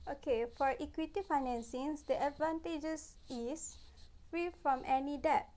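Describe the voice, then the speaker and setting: High-voiced young Malaysian woman presenting. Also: unhurried, a little mellow. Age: 20s. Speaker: university student presenter. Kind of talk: scripted presentation. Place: plain indoor room.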